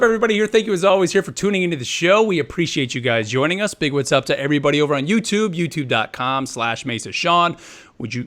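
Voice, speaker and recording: A man's voice: mid-range voice, a bit bright. A man hosting a live gaming podcast, talking in an upbeat, chatty way. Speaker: podcast host.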